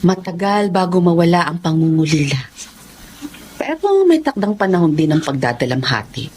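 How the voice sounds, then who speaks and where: An actress playing a concerned, sensible older sister in a bereaved family in a Filipino radio drama. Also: female voice, lowish voice, sing-song, normal speed, darkish tone, breathy edge. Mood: sympathetic.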